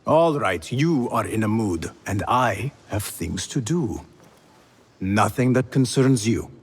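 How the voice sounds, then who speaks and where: A man's voice: lowish voice, lively intonation, takes it slowly, a little hiss or hum. A man playing a determined, controlled young assassin in a video game, earnest and probing. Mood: exasperated. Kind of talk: video game character acting.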